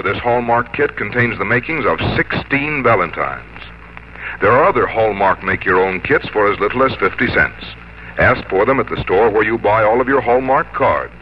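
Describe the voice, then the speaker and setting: A man reading scripted copy as a polished, measured 1950s American radio announcer. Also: lowish voice, slight background noise. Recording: muffled 1950s radio broadcast, music behind.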